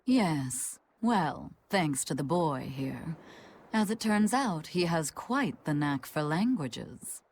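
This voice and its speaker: Unhurried, low-voiced old scholar lady. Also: lively intonation, darkish tone, a little hiss or hum, heavily acted. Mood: amused, knowing. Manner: theatrical, musing, drawn-out. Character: elderly scholarly woman teacher, teasing and absorbed. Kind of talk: video game cutscene acting.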